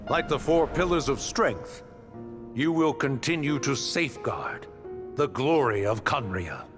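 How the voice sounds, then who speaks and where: An actor playing a noble, loyal knight in a video game, speaking in a formal, ceremonial way. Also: male voice, medium pitch, animated, measured pace, music in the background, lightly acted. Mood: proud, solemn. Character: noble, loyal knightly lord bestowing honors. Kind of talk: dramatic video game voice acting.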